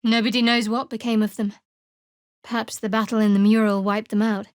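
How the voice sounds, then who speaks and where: Casting: woman to play young female cleric for fantasy RPG; guarded, cool, dry wit, slightly high voice, close dry recording. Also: level tone, fairly quick, no room sound, lightly acted. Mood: sardonic. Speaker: video game character. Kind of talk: scripted fantasy game dialogue.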